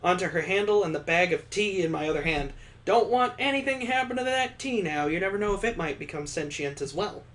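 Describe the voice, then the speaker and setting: A man's voice: sits high, lively intonation, fairly quick, fairly bright tone. A man reading a visual novel aloud as a let's play host, dropping in wry, casual comments of his own. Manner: wry, joking asides between read passages.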